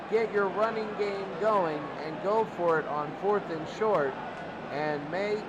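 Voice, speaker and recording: High-pitched American man calling football. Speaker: football play-by-play announcer. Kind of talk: sports commentary. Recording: very noisy amateur broadcast. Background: loud ambient noise.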